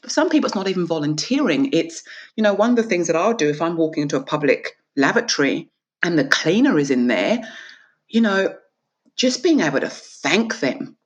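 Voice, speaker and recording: A woman's voice: fairly low pitch, very animated, a little fast, highs cut off. A woman from Australia, an author and guest, talking earnestly and with emphasis in an interview and acting out small dialogues. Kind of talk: reflective interview conversation.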